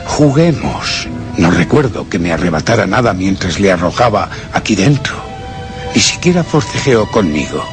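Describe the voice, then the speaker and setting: A man's voice: deep voice, full character voice. An actor with a Castilian Spanish accent playing a tormented man who reasons obsessively in a brooding, formal monologue for suspense radio theatre. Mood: tense, searching. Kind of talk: dramatic first-person suspense radio theatre narration.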